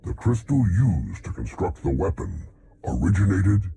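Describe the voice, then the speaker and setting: A man voicing a fussy, curious scientist in a game, husky and gravelly, with formal, erudite wording. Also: sits low, very animated, moderate pace, dark tone, exaggerated voice. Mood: pleased, explaining. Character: scholarly male scientist, curious, fussy, admiring of knowledge. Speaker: doctor and researcher. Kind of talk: video game cutscene acting.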